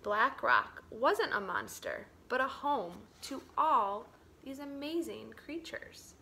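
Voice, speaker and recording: A woman reading a children's picture book aloud as a teacher would, dramatically, dropping to a hush and swelling for emphasis. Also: high voice, expressive, takes it slowly, slight background noise. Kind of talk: expressive children's storybook read-aloud.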